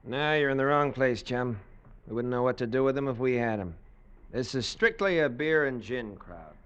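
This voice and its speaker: An actor playing a blunt, wisecracking, grumbling American expatriate in a 1940s radio drama. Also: male voice, medium pitch, expressive, normal speed, clear, resonant tone, lightly acted. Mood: sour, grumbling. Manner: blunt, slangy, sardonic. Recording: dull vintage radio recording.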